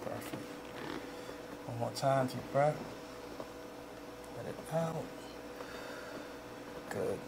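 A middle-aged man talks a client through treatment. His voice has a good deal of fry, and he speaks softly and calmly in short repeated cues. Noticeable room noise sits behind him. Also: medium pitch, drawn-out words, pauses often. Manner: calm, repetitive short cues. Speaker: chiropractor. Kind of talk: soft-spoken instructions to a client during treatment. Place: small indoor treatment room. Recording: noisy room ambience.

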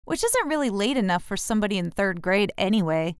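A woman's voice: medium pitch, very animated, fast talker, clean tone, no echo, clean studio sound, lightly acted. A woman voicing a jealous, bossy eight-year-old girl in a children's storybook. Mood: aggrieved, complaining.

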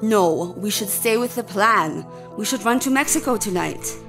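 A woman's voice: medium pitch, lively intonation, moderate pace, music in the background, natural voice. A woman playing a cautious, anxious character in a radio drama, with careful formal phrasing. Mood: alarmed. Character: cautious, alarmed woman who once worked for a criminal.

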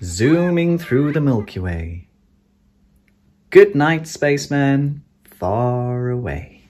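A man reading a children's picture book aloud in an expressive, playful, measured way, as an animated storyteller. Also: mid-range voice. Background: sound effects, chimes, vehicle noise.

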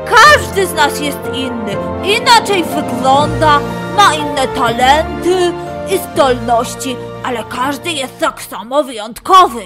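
Woman playing a high-voiced, ceremonious beaver announcer. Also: animated, takes it slowly. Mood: warm, ceremonial. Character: dignified, warm-hearted male beaver master of ceremonies. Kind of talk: children's audio story acting. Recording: music under the voice.

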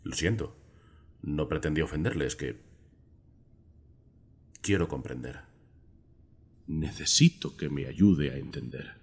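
An actor playing a calm, measured middle-aged psychiatrist in a Spanish film dub, with a Castilian accent and soft-spoken delivery. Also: male voice, rumbling low, exaggerated voice. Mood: apologetic, gentle. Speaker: dubbing actor. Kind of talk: dubbed film drama acting.

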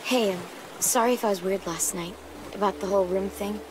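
A woman's voice: medium pitch, brisk, background noise, close to an everyday voice. A woman playing a guarded young survivor in a game drama, understated and awkwardly sincere. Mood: awkward, wistful. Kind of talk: naturalistic video game drama acting. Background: music.

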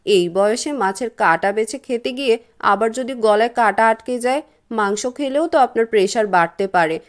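A woman voicing a curt, grudging young housewife in a cartoon, with a brisk, scolding manner. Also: on the high side, lively intonation, close to an everyday voice. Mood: slyly dismissive. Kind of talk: cartoon voice acting.

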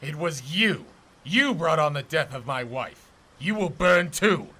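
A man's voice: fairly high pitch, expressive, measured pace, bright, forceful tone, breathy edge, full character voice. A man playing a bitter, contemptuous older blacksmith in ancient Greece, haughty and declamatory, in an audio drama. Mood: angry, accusing. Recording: noticeable background noise.

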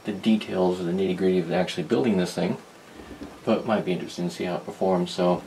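A man giving a casual, rambling, unhurried demonstration of a hobby project, talking as a maker. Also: low-pitched, steady tone, background noise. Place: small cluttered indoor workshop.

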